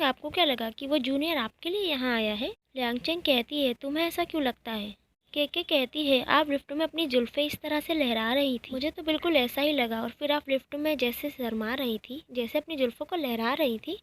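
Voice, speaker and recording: A woman narrating a recap of a romantic drama episode, reading every character's lines in her own plain, even voice. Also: high-pitched.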